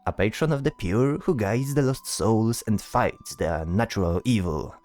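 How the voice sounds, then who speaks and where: Man as courteous bard spellcaster for fantasy audio drama. Low, lively pitch, halting and showy. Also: faint music behind, natural voice. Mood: explaining, hesitant. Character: chatty, polite, hesitant male bard spellcaster.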